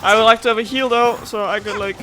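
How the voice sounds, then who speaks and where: A woman's voice: a little high, fairly even intonation, fast, music in the background. A young woman doing casual, playful let's play commentary with a dramatic, emotive way of talking. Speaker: gaming streamer. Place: indoors over game audio.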